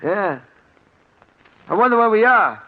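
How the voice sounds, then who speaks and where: A high male voice plays a nervous young American crook. He is excitable and slangy, the anxious junior partner in a 1940s radio crime drama. The sound is muffled, like an old broadcast. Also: a little slow. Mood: shaken.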